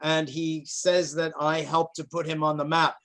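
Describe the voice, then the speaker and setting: A man moderating an online panel, effusive and flattering, laughing often. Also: on the high side, normal speed.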